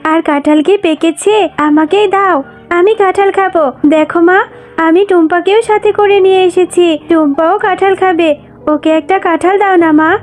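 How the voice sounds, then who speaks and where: A woman's voice: very high voice, fairly even intonation, normal speed. A woman voicing a kind-hearted little tiger girl in a cartoon, childlike and coaxing. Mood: eager. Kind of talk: cartoon voice acting. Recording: music under the voice.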